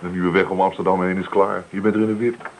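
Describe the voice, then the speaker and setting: An actor playing an easygoing, plain-spoken grown son of a farming family in a Dutch radio play. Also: male voice, deep voice, flat delivery, fast. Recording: noisy old radio drama recording.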